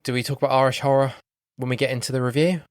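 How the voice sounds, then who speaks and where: A man's voice: mid-range voice, flat delivery, rapid-fire, no room sound, clean studio sound. A man co-hosting a podcast in casual banter, grumbling and rambling as he talks. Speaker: podcast co-host. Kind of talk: casual film chat with banter.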